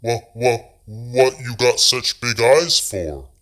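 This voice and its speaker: A man's voice: medium pitch, slow pace, fairly bright tone, voice pushed up. A man voicing a frightened young boy who stammers out his questions. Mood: scared. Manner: stammering, scared.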